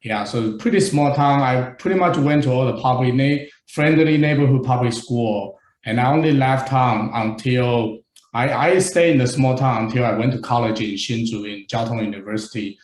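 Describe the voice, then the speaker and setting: A man's voice: medium pitch, moderate pace. Man in an echoey room. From Taiwan. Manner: relaxed, joking, slight grammar slips. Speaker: interview guest, investor.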